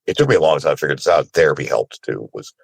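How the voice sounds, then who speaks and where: Very deep, gravelly-voiced man. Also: lively intonation, quick pace, no echo. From Sri Lanka, moved to America as a child. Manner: informal and candid, often checks in with "you know". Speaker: panelist, voice actor. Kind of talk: casual, candid personal conversation.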